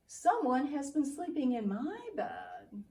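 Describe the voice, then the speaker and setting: A woman's voice: big pitch swings, slow, gravelly, mellow, full character voice. A woman voicing an indignant, surprised mother bear in a storybook, speaking emphatically. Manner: emphatic, high. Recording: roomy, distant microphone.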